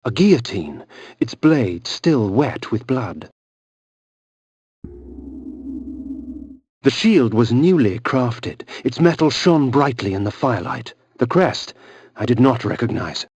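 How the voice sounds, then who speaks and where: An actor playing a brooding, aristocratic vampire who narrates with solemn, archaic, theatrical diction. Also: male voice, medium pitch, big pitch swings, measured pace, a little mellow, lo-fi sound. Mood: musing. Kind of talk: scripted gothic game narration.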